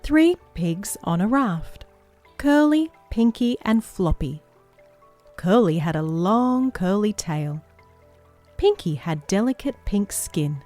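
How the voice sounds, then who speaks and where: A woman reading a picture book aloud to young children as a story-time host, in a measured, expressive storytelling manner. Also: medium pitch. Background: music behind the voice.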